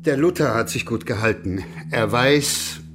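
An actor playing a deferential, timid church official in a German radio play, placating and pleading in manner. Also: male voice, medium pitch, a little slow, fairly bright tone, natural voice. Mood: deferential, cautious.

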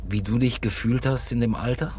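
An actor playing a weary, laconic police detective in a naturalistic radio crime drama, speaking in a terse, understated way. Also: male voice, medium pitch, fairly even intonation, brisk, lightly acted. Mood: reflective, dry. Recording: music behind the voice.

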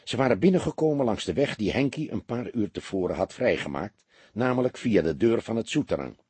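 A male audiobook narrator with a very husky, breathy-rough voice. He reads scripted prose expressively, with lively swings in intonation, in a close, dry recording. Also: on the low side, brisk, no room sound.

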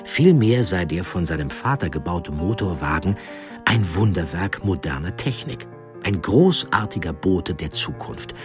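A man narrating a historical documentary in a calm, explanatory way. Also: sits low, unhurried. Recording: narration over music.

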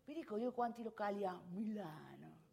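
A woman playing an excitable, crude, boastful provincial man in a live comic theatre monologue, repetitive and chatty. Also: mid-range voice, moderate pace, creaky. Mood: chummy, boastful. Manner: repetitive, emphatic, chatty, addressing listeners directly. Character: excitable, crude, boastful male strip-club regular from provincial northeast Italy.

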